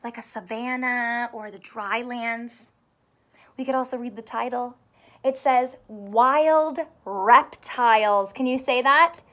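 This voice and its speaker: A woman in her thirties, a primary school teacher reading aloud to young children in an expressive, carefully enunciated way and asking them questions. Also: fairly high pitch, slow pace. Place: small home room with sofa and soft toys.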